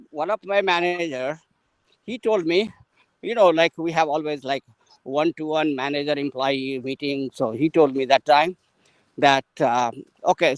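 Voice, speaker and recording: A man's voice: fairly high pitch, no room sound, low-quality recording. Indian-accented man talking while walking. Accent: Indian English. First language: Maithili. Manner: unhurried and rambling, with frequent fillers and restarts while walking. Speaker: guest mentor in an English practice session. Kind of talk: casual conversational storytelling.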